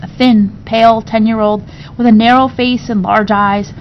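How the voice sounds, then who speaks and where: A woman reading a classic novel aloud in an expressive storybook narration style. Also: normal speed. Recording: dull recording with low rumbling noise.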